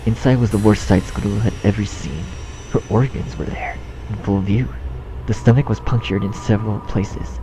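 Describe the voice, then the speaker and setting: Low-pitched man narrating over music. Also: low-quality recording. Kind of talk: scripted dramatic story narration.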